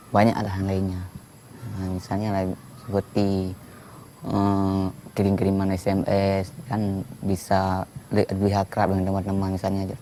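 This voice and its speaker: A man giving casual, halting interview answers as a radio listener. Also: deep voice, warm tone, low-quality recording. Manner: halting, informal. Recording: faint background noise.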